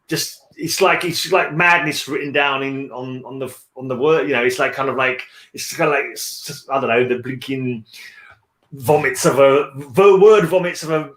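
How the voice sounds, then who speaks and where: A man's voice: mid-range voice, moderate pace, a bit bright. Rambling man in a roomy space. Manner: rambling, searching for words, repeats phrases. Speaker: host of a book read-along stream. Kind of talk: casual book discussion chat.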